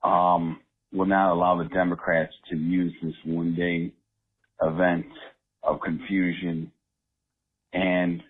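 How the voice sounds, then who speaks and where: A male caller leaving a halting, combative political voicemail for a talk show. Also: sits low, level tone, slightly rough. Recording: phone-line voicemail.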